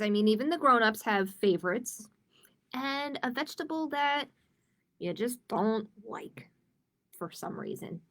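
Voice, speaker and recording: A woman hosting a storytime for young children, reading picture books aloud expressively with informal asides to the viewers. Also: on the high side. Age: adult. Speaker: children's library storytime host. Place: live-streamed online storytime.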